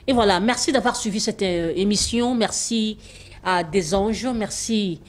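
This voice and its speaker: A woman TV host speaks in a mid-pitched voice. She presses her guest with brisk, pointed questions and closes the show with thanks. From Democratic Republic of the Congo. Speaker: TV interviewer. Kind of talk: TV news interview.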